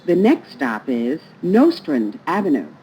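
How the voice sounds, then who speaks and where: A woman's voice: low-pitched, wildly swooping pitch, measured pace, mellow. A woman reading scripted transit announcements in a formal, phrase-by-phrase manner, played over train speakers. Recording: muffled, noisy recording inside train. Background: train ambience noise.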